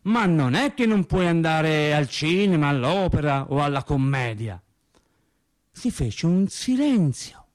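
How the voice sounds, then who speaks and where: Animated high-voiced working-class storyteller. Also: male voice. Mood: wry reminiscing. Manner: animated storyteller, dramatic pauses and emphatic swings. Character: working-class man recalling childhood Sundays, wry and naive. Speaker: stage actor. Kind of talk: theatrical first-person monologue, storytelling for radio drama.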